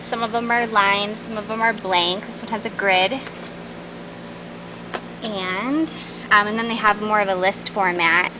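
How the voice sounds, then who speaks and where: A woman with a mid-pitched voice hosts a casual, unscripted product tour. She talks in a chatty, informal way. The sound comes from a handheld camera in a warehouse, with clear background noise. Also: fairly even intonation, measured pace, pauses often. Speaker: host showing new craft store products. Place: large indoor warehouse aisles. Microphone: handheld camera's built-in mic.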